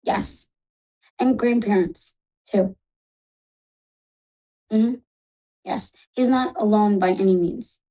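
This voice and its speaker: A woman with a New York accent hosting a live psychic call-in show, talking fast and reassuring callers affectionately. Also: mid-range voice, dull audio. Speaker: psychic radio host.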